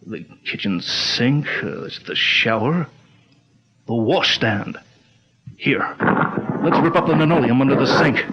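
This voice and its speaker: An actor playing a calm, authoritative detective chief, speaking in a measured, deliberate way in a 1940s-style radio drama. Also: male voice, medium pitch, slight background noise. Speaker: lead detective. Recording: dull lo-fi old radio recording.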